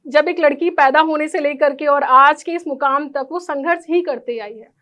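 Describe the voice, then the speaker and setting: High-pitched woman, interview guest. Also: moderate pace, a bit bright. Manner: earnest, mixes English words into speech. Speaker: interview guest, architect and NGO volunteer. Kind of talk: formal interview answers.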